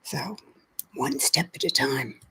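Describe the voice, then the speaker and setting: A woman's voice: sits low, big pitch swings, slow pace, vocal fry, bright, forceful tone, breathy edge. A woman who has lost her voice, whispering her way through a chatty livestream and greeting viewers by name. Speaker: livestream host. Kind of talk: casual live chat with viewers.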